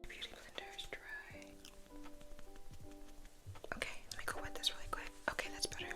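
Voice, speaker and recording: A woman in her thirties, sleepy and just up, chatting casually in a soft whisper while doing her makeup at home. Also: moderate pace, over music. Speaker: vlogger. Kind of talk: soft-spoken whispered get-ready-with-me vlog.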